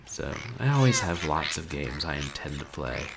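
A man in his thirties, a game streamer chatting casually at home in a wry, rambling, animated way. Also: extremely low pitch, moderate pace, creaky, a crowd in the background. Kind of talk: casual livestream chat. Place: small room at home, indoors.

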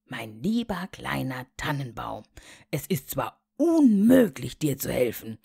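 A woman voicing a small bearded gnome in a fairy tale, solemn, deliberate and self-important. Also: a bass voice, sing-song, creaky, mellow, husky, no echo, studio quality, voice pushed down, exaggerated voice. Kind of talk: fairy-tale storybook character voice.

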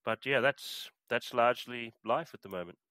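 A man's voice: medium pitch, normal speed, bright, forceful tone, no room sound. A middle-aged Australian man chatting as a podcast guest, hesitant with plenty of fillers and restarts. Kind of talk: casual interview chat.

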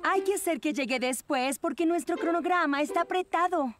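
Exacting, high-voiced teen girl. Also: brisk. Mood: pressing. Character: organised, schedule-obsessed, exacting teenage girl. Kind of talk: animated children's cartoon dub. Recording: music behind the voice.

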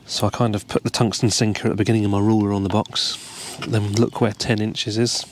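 A man, calmly explaining step by step how to tie a fishing rig, recorded outdoors. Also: on the low side, fairly quick, a little hiss or hum. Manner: relaxed, explains each step while tying. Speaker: carp fishing angler demonstrating a rig. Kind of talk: casual step-by-step tutorial. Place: outdoors by the water, seated over a tackle box.

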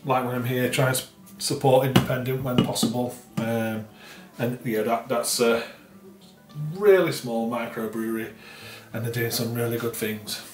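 A man's voice: mid-range voice, moderate pace. Middle-aged man rambling in a roomy kitchen. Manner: rambling, repeats himself, many fillers. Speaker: beer reviewer. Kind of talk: casual beer tasting review. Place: home kitchen, indoors. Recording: roomy, slightly distant sound with faint music.

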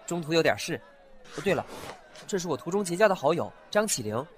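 A man's voice: fairly high pitch, sing-song, a little fast, a little hiss or hum. A man playing a talkative, curious young antique-shop owner who often muses aloud to himself. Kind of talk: fan audio drama acting.

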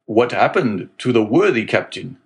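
A man's voice: mid-range voice, big pitch swings, moderate pace. A man voicing an anxious, practical middle-aged ship owner who asks eager questions.

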